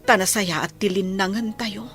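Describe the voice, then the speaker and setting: A woman playing a poor, blind, long-suffering mother in a radio drama, speaking softly and with resignation. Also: mid-range voice, normal speed, soft background music, lightly acted. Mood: resigned. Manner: soft, resigned, apologetic.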